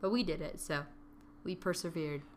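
A woman's voice: fairly low pitch, normal speed, slight background noise. A woman chatting casually and animatedly about a hike, acting out her own reactions. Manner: animated storytelling, acts out her own quotes. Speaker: hiker recounting a trip. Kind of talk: casual chat.

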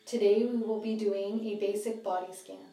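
Female meditation guide, soft-edged mellow tone, calm measured phrasing, recorded slightly away from the mic with music behind. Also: mid-range voice. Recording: slightly distant roomy sound, music behind.

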